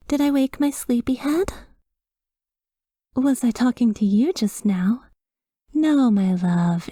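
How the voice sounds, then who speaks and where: A woman performs a devoted female vampire, wistful and loving, in an intimate ASMR roleplay. Her whisper is breathy and slow, with little rise and fall in pitch. She sounds tender and hushed throughout. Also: dry recording, clean studio sound. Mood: soothing.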